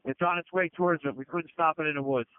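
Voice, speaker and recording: A man's voice: fairly high pitch, steady tone, fast. A man working as a fire division officer, reporting in emergency radio traffic over a two-way radio. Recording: tinny narrowband fire radio transmission.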